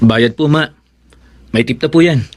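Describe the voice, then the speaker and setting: Man acting a guilt-ridden son, high male voice, Filipino accent, earnest, emotional, radio drama, clearly noisy recording. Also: normal speed, natural voice. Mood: subdued. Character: Melvin, grieving, guilt-ridden grown son and older brother.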